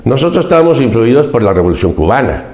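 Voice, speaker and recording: A man's voice: medium pitch, sing-song, fast, darkish tone, echoey room. A Mexican man in his seventies, a podcast guest recounting his life in an earnest, emphatic way and often repeating key phrases. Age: 70s. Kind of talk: reflective interview storytelling.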